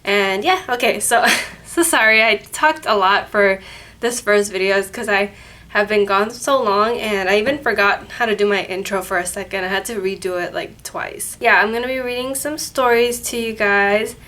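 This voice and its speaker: A woman's voice: medium pitch, normal speed, bright, forceful tone. A woman hosting her paranormal channel, chatting casually to camera from an office, sounding nasal and congested from a cold and rambling with self-corrections. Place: office at her workplace, indoors. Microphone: camera mic, no microphone.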